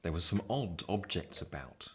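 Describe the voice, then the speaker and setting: An actor playing a reflective man narrating his own youth in a literary radio play, measured and wistful. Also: low voice, lively intonation, a little hiss or hum.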